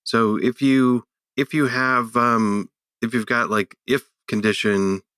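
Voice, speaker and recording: A man co-hosting a tech podcast, speaking casually in a hesitant, halting way. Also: mid-range voice, little pitch movement, a bit bright, clean tone, no room sound, studio quality. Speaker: podcast co-host.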